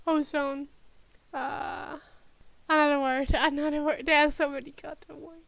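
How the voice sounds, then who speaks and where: A woman with a high voice and a command of works through a text aloud at an unhurried pace. She stops and restarts often, mutters to herself and tests how words are pronounced. The sound is close and dry. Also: little pitch movement, gravelly, fairly bright tone, no room sound. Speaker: podfic reader. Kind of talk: informal rehearsal of a read-aloud, checking pronunciations.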